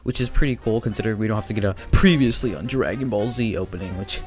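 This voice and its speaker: A man's voice: fairly low pitch, fast, a little mellow. A man giving casual review commentary as a YouTuber, slangy and sweary, rambling with mock exasperation. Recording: faint music under the commentary.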